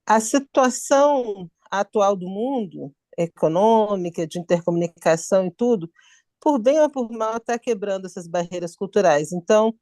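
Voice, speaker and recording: Woman panelist, mellow, calm and analytical, dry sound. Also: medium pitch, normal speed, no room sound. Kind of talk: panel discussion.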